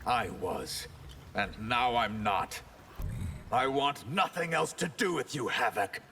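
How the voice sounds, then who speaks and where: Slow, grave, forceful mage. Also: male voice, mid-range voice, expressive, gravelly, slight background noise, full character voice. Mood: cold. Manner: grave, deliberate. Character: proud aloof water mage, grave and disillusioned. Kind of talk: fighting game character dialogue.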